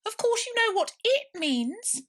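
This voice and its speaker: A woman's voice: extremely high pitch, sing-song, a little slow, a bit bright, voice pushed up, exaggerated voice. A woman voicing a self-important, bossy storybook mouse who lectures formally and gets cross easily.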